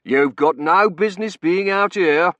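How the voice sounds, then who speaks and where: Guy doing a bossy stout wartime warden, stern and pompous, loud pushed-up storybook voice. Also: high-pitched, measured pace, dry recording, pitched above the performer's own voice. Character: stout, stern, officious British air-raid warden.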